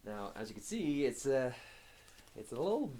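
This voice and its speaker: A hoarse man with a cold gives a casual progress update to camera, talking haltingly with many filler pauses. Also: mid-range voice, very animated, mellow. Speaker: fitness vlogger.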